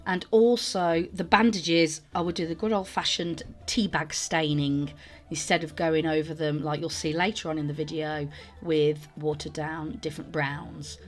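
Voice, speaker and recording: A woman's voice: deep voice, fairly even intonation, normal speed. A woman hosting a sculpting tutorial voice-over, chatty and self-deprecating, her voice cracking from a sore throat. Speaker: sculpting channel host.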